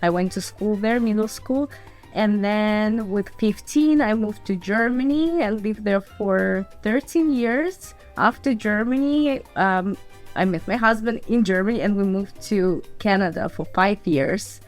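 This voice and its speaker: A woman's voice: mid-range voice, unhurried, darkish tone, soft background music. A woman from Belarus and Ukraine, a podcast guest telling her story casually in an interview. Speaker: podcast guest, fitness entrepreneur.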